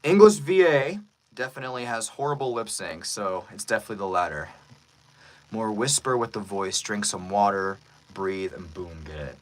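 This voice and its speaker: A man who is a voice actor, chatting casually with livestream viewers in an animated manner. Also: on the low side, normal speed, rough recording. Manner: animated, reads out viewer comments. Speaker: voice actor, streamer.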